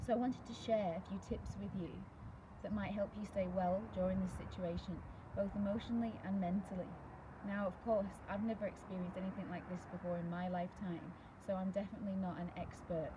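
A woman's voice: medium pitch, fairly even intonation, a little fast. A British woman in her thirties giving a prepared address to school pupils as a teacher, recorded outdoors. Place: outdoors in a garden by a brick wall. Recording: outdoor recording with clear background noise.

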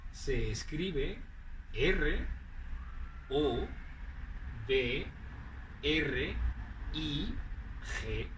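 A man in his forties from Zaragoza, with a Spanish accent, acting out a slow, teasing teaching dialogue outdoors on a clip-on mic. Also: a little high, lively intonation, a bit bright, recorded from a distance, slight background noise, poor audio. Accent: Spanish from Aragon. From Spain. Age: 40s. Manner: teasing, drawn-out emphasis. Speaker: language teacher in a scripted dialogue. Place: outdoors in front of a green wall.

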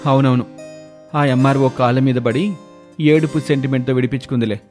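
A man voicing a dismissive, appearance-minded brother in an animated family story. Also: mid-range voice, fairly quick, soft background music, lightly acted.

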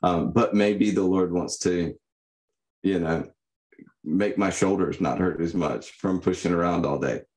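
A young man giving reflective, personal answers as a podcast guest, in a halting, thoughtful manner. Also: low-pitched, darkish tone. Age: young adult. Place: indoor room, on a video call. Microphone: condenser microphone.

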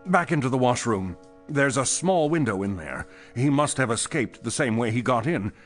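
Male actor for rattled company boss, pulp audio drama; nervous, animated intonation, mid-range voice. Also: mid-range voice, moderate pace, soft background music, lightly acted. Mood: frightened, agitated. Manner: nervous, hesitant, defensive. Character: frightened, shaken male chemical company boss under police questioning.

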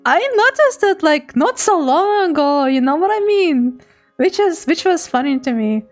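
Giggly high-voiced young woman streamer. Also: normal speed, faint music behind. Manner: giggly, playful, animated. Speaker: VTuber streamer. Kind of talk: casual chat reading chat messages.

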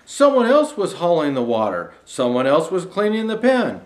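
Lively older man reading a children's storybook. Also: a little high, normal speed. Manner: folksy, addressing young viewers directly. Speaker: storyteller in a Santa persona. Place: small indoor decorated studio set.

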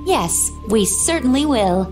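Serene, slightly high-voiced young warrior. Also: female voice, faint music behind. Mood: coy, gentle. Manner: calm, coy, unhurried. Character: serene, playful young woman warrior, quietly wistful. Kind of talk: video game cutscene acting.

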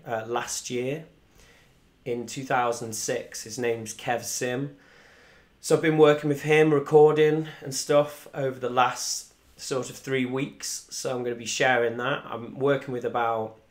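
Chatty young man, flat tone. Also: mid-range voice. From Wales. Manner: chatty, rambling, reads viewer comments aloud. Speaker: musician hosting a live stream. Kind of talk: casual live stream chat. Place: small room indoors at home. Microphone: desk microphone in front.

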